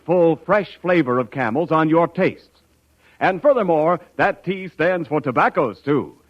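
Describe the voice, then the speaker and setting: A male commercial announcer reading scripted 1940s radio advertising in an emphatic, polished manner. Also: fairly high pitch. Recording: dull 1940s radio recording.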